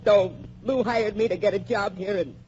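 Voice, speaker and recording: A woman's voice: mid-range voice, steady tone, normal speed, warm tone, slight background noise, close to an everyday voice. An actress playing a frightened but defiant young woman spy in 1940s radio acting style. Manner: defiant and breathless. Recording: muffled 1940s radio recording.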